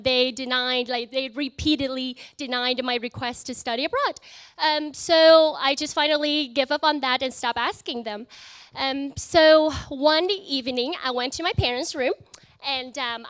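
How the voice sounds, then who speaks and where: A young woman from Vietnam with a Vietnamese accent, giving an earnest, halting personal testimony to an audience, full of fillers and restarts. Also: sits high, a bit bright, dry recording. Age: young adult. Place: event in front of an audience.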